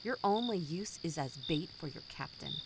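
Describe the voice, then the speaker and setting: Actress doing cold smug lady boss villain, lowish voice, audio drama, crickets and night noise behind her. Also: expressive, moderate pace. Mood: smug, menacing. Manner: smug, formal, menacing. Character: cold, condescending villainous female company boss. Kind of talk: scripted audio drama acting.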